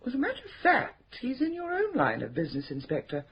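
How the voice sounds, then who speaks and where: An actress playing a prim, mischievous, scheming spinster who runs a charity, in a radio drama. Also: female voice, a little high, huge pitch swings, fast. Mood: confiding. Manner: arch, coy, prim and mischievous. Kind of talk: 1970s radio drama acting. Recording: dull slightly noisy radio drama recording.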